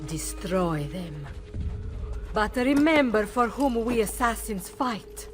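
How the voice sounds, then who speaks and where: A woman's voice: medium pitch, very animated, slow pace. A woman playing a solemn, resolute older Italian noblewoman and mother in a video game. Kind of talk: dramatic video game acting. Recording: clear music behind.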